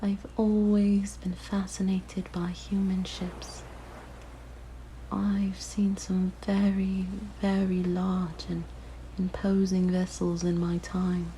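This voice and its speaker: A woman voicing a lonely, curious, predatory siren in an ASMR roleplay, with a soft, breathy, mostly whispered voice and a hushed, musing, gently menacing manner. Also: mid-range voice, completely flat delivery, slow pace, lightly acted, poor audio. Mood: shy, fascinated. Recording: faint background noise.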